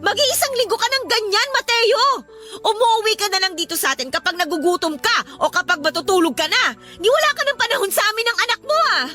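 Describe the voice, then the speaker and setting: A woman's voice: very high voice, lively intonation, quick pace, pushed and bright, soft background music, full character voice. A woman playing an exasperated young mother and live-in partner in an emotional Filipino radio drama. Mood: exasperated, hurt. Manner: emotional, scolding.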